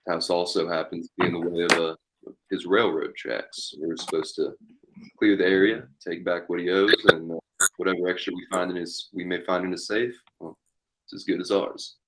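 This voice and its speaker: Man playing a very deep-voiced haunted outlaw boss. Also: fairly even intonation, moderate pace, very noisy. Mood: matter-of-fact. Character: haunted male war-veteran outlaw gang leader. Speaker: actor in a table read. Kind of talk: amateur script read-through of a western.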